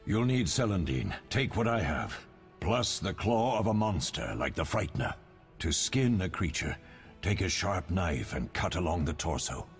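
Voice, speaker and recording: An actor playing a wise, fatherly older mentor of monster hunters in a video game, measured and instructive. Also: low-pitched, big pitch swings, soft background music. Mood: approving, instructive.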